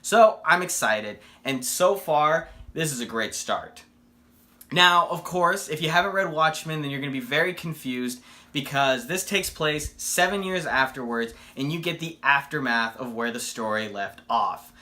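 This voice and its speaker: A man's voice: fairly high pitch, animated, unhurried, pushed and bright. A man giving a casual comic book review in an enthusiastic, emphatic way.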